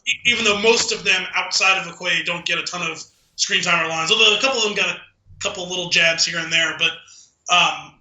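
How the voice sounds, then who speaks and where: A man's voice: high voice, a little fast, sharp tone. A man co-hosting a podcast, giving his opinions in casual film discussion, recorded with an echoey, roomy sound. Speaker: podcast co-host.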